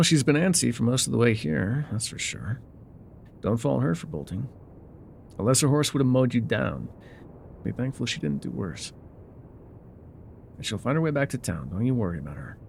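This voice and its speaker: An actor playing a gruff, guarded male trail guide in an immersive audio roleplay, with wry, folksy, laconic phrasing. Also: mid-range voice, very animated, normal speed, pauses often, darkish tone, slight background noise. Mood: calm, reassuring. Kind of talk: immersive ASMR roleplay acting, talking to the listener.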